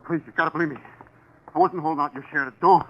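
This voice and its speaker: An actor playing a frightened, cornered crook in a 1940s radio drama, stammering and pleading nervously. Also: male voice, fairly high pitch, fairly even intonation, very fast, dull audio, lightly acted. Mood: desperate pleading. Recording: noisy vintage 1940s radio broadcast.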